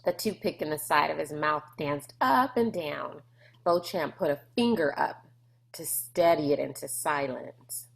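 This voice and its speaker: A woman's voice: low-pitched, a little slow, creaky, poor audio. A woman narrating a children's novel aloud in a scripted storybook style.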